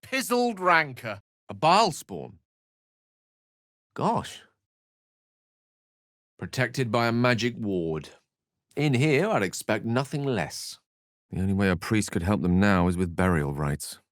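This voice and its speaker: Eloquent wizard, richly varied intonation. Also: male voice, on the low side, big pitch swings, takes it slowly, long pauses, a bit bright, dry recording, studio quality, heavily acted. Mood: expressive. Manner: erudite, wordy, theatrical phrasing. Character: eloquent, bookish male human wizard, witty, earnest, melancholic. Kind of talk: video game character voice lines.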